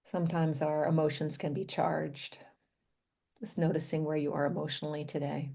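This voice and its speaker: A woman guides a meditation in a low, slightly gravelly voice with a soft, mellow tone. She speaks gently and invitingly, leaving space between short phrases. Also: little pitch movement, normal speed. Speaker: doctor leading a guided meditation.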